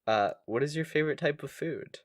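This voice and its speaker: A man's voice: on the high side, brisk, dry recording, studio quality. A man co-hosting a podcast in casual comedic banter, rambling along playfully. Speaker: podcast co-host.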